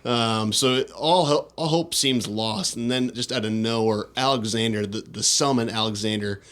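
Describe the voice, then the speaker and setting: A man's voice: fairly low pitch, moderate pace, a bit bright. A man hosting a podcast, casually retelling video game scenes with dramatic storytelling emphasis. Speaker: podcast host. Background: music behind the voice.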